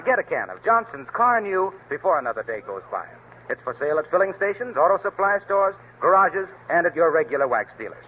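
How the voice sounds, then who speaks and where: A man's voice: fairly high pitch, big pitch swings, a little fast. A man announcing a 1930s radio show and reading its commercial, emphatic and salesman-like. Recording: tinny lo-fi old radio broadcast, faint hiss.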